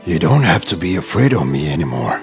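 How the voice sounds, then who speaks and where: A man voicing a grateful, regal lion king in a children's storybook, with a deep, gravelly voice and a solemn delivery. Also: big pitch swings, fairly quick, faint music behind.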